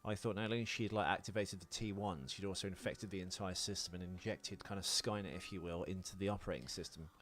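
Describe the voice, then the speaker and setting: A man's voice: low voice, steady tone, fast, few pauses, a bit bright. A man, middle-aged, co-hosting a podcast in a casual film discussion, explaining his points insistently. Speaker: podcast co-host.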